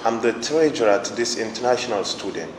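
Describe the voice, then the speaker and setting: A man's voice: mid-range voice, lively intonation, fairly quick, background noise. A young man from Nigeria introducing himself as a student council treasurer. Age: young adult. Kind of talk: self-introduction to camera. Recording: echoey hall, music behind.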